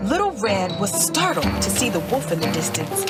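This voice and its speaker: Woman storyteller, scripted children's narration, expressive pitch, medium register, prominent music bed. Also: moderate pace.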